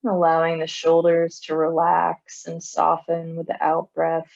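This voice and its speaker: A woman guides a body scan meditation in a low, soothing voice. Her pace is very slow, with long pauses between short phrases. The recording is somewhat dull and muffled. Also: monotone, gravelly, darkish tone. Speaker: meditation teacher.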